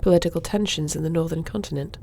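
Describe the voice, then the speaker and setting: Warrior princess played by a woman, fantasy audio roleplay: confident, formal, deep for a woman, gravelly edge. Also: fast talker, a little mellow, slight background noise. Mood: confident, cordial. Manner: assured, formal. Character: confident warrior princess, dragon shifter commander, self-assured.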